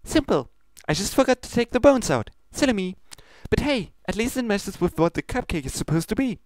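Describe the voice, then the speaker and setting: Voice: mid-range voice, very animated, a little fast, darkish tone, no echo, pitched above the performer's own voice, lightly acted. A reader voicing a cheerful, oblivious young female pony baker in a bubbly, casual manner for a comedic fan fiction story. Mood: blithely cheerful.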